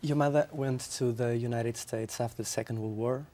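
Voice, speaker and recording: A man whose first language is Portuguese asks questions in English as a television interviewer. He phrases his questions haltingly, with fillers and pauses. His voice sits at an ordinary middle pitch for a man. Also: fairly even intonation, dark tone.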